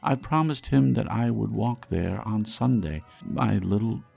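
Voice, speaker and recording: A man's voice: fairly low pitch, expressive, measured pace, gravelly, darkish tone, soft background music, voice pushed up, full character voice. A man voicing a tender, grieving working-class father in a storybook, speaking earnestly and with emotion. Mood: tender, breaking down. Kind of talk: storybook character acting.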